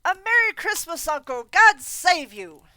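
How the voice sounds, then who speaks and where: Woman playing a bright, cheerful young man. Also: extremely high pitch, very animated, unhurried, no echo, pitched above the performer's own voice, exaggerated voice. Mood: cheerful coaxing. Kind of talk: storybook reading.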